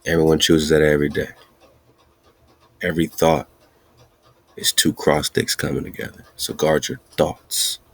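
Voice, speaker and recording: Male host, very low and monotone, husky; emphatic sermon-like talk, close dry sound. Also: dry recording. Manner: slow, emphatic, repetitive, with laughs. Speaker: podcast host. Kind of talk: casual preaching-style monologue.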